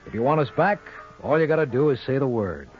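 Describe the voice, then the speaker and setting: An actor playing a wry, quick-witted American lawyer sleuth in an old-time radio detective drama, with slangy, folksy wisecracks. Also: male voice, mid-range voice, animated, lightly acted. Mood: folksy sign-off. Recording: dull old radio recording with music.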